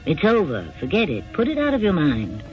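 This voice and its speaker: A man introduces a vintage radio drama as host, with a fairly high, very animated voice. His delivery is emphatic and theatrical, over music on a dull old recording. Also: fairly quick.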